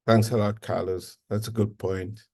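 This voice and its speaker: A man hosting a community video call, speaking in a halting, hesitant way. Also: fairly low pitch, little pitch movement, warm tone, breathy edge. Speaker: call host.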